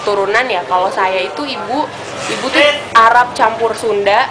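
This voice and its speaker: A woman's voice: a little high, normal speed. A young woman from Purwokerto, a student interviewee, rambling hesitantly with many fillers. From Purwokerto, Central Java. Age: young adult. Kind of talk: street vox-pop answer. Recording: outdoor street noise and chatter.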